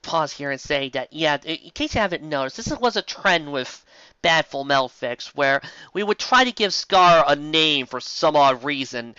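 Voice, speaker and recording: Bright, animated, theatrical reader with muffled sound. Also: mid-range voice, moderate pace, clean tone, no echo. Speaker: host reading fan fiction aloud with commentary. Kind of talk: comedic dramatic reading with asides.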